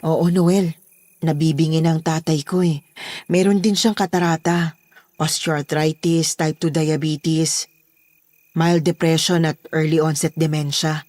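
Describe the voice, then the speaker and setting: A woman's voice: low-pitched, fairly even intonation, a little slow, a little mellow, no echo. A woman with a Filipino accent playing a protective, bossy daughter running a household in a horror audio drama. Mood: guarded.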